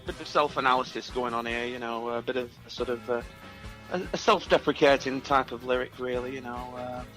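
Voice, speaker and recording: Hesitant man with a bright voice. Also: medium pitch, fairly even intonation, brisk, soft background music, poor audio. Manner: hesitant, self-effacing. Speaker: musician, interview guest. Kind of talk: casual interview chat.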